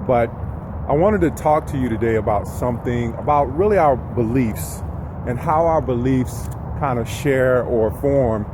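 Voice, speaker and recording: A man's voice: medium pitch, animated, unhurried. A man giving an informal, reflective motivational talk while walking outdoors, speaking directly to the listener in a conversational way. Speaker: vlogger giving personal development advice. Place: outdoors, walking near traffic. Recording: clear outdoor traffic and street noise.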